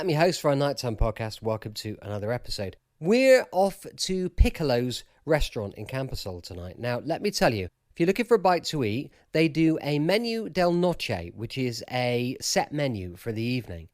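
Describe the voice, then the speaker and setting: A man's voice: medium pitch, no room sound, clean studio sound. A British man hosting a podcast in a chatty, emphatic, casual style. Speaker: podcast host.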